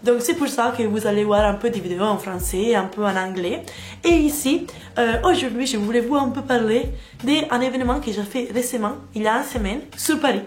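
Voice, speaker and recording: A woman's voice: fairly high pitch, brisk, faint music behind. Italian woman vlogging in hesitant French. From Italy. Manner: chatty, hesitant with many fillers in a second language. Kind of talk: casual vlog chat.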